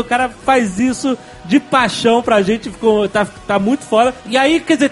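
A man with a Brazilian Portuguese accent and a strikingly high voice hosts a podcast. He talks in excitable, slangy banter full of exclamation. Music plays faintly behind him. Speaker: podcast host.

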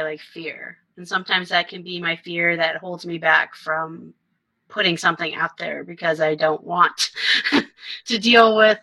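A woman's voice: fairly low pitch, pushed and bright, no room sound, rough recording. A woman hosting a casual chat, giggly and hesitant, with lots of fillers.

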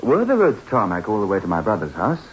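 An actor playing a confident, curious writer husband in a radio drama, hearty and well-spoken. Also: male voice, on the low side, huge pitch swings, fast, slightly rough, exaggerated voice. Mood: eager, confident. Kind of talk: 1960s radio drama acting. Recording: muffled, noisy old radio recording.

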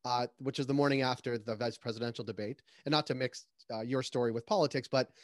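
A man's voice: mid-range voice, fairly even intonation, quick pace, no echo, studio quality. A man hosting a casual podcast, speaking hesitantly with fillers. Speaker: podcast host.

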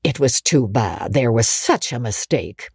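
A woman reader acting an older village busybody from a storybook, husky and mellow. She is nosy and gossipy, passing gloomy judgement with a swooping, lively melody. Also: extremely low pitch, a little slow, no room sound, clean studio sound, pitched below the performer's own voice, exaggerated voice. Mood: sympathetic.

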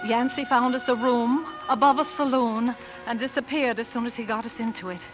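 An actress playing a spirited, proper young pioneer wife who also narrates an old-time radio drama, with genteel, emotive delivery. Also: female voice, on the high side, fairly even intonation, fairly quick, lo-fi sound, music in the background, lightly acted. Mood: wistful, reminiscing.

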